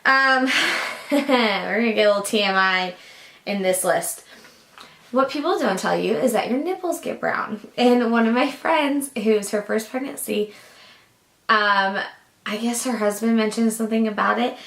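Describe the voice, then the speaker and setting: A young woman vlogging casually to camera, rambling with lots of fillers, her voice raspy and groggy from a lingering cough. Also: a little high, a little slow, a bit bright. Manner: chatty, rambling, lots of likes and ums. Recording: echoey room.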